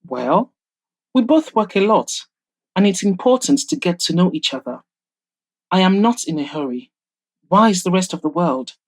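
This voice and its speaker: A woman's voice: on the low side, lively intonation, normal speed, pauses often. A woman voicing a proud, guarded woman in an audio drama, speaking in pointed, formal, exasperated phrases. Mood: defensive. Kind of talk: audio drama character dialogue.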